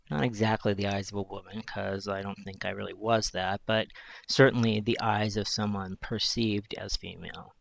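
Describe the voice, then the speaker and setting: Soft-spoken man with a high voice. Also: creaky, muffled recording. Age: 40s. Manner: thoughtful, searching, with pauses and restarts. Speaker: journalist. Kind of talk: reflective personal monologue.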